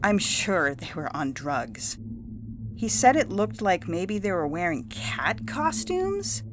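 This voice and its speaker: A woman's voice: medium pitch, sing-song, slow pace, noisy background. A woman playing a self-righteous, suspicious suburban mother, telling her tale in a gossipy, indignant way for an audio story. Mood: alarmed. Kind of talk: scripted audio fiction monologue.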